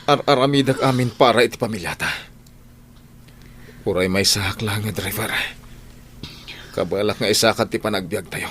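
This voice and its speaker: An actor playing a hardworking, hesitant tricycle driver hurt by betrayal, with a Filipino Ilocano accent, in an emotional radio drama. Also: male voice, lowish voice, moderate pace, pauses often, a bit bright, slight background noise, close to an everyday voice. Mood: hurt, angry.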